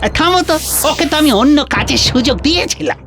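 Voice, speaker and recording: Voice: high voice, fast talker, music in the background. An actor playing a gossiping, self-excusing villager in a cartoon, with an exaggerated manner. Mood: dismissive. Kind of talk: dramatic cartoon voice acting.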